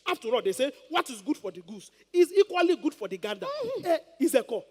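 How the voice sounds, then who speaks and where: A man's voice: extremely high pitch, very animated, fast talker, breathy edge, in a large hall, heavily acted. A man playing an envious, pleading Nigerian villager in a stage play, hesitant and fond of proverbs. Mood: wheedling. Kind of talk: theatrical stage comedy acting.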